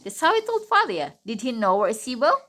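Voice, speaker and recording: A woman's voice: fairly high pitch, very animated, quick pace, pushed and bright. A woman telling a Bible story to a young child in simple, non-native English phrasing, often asking questions and acting out dialogue. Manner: asks the listener questions, acts out dialogue.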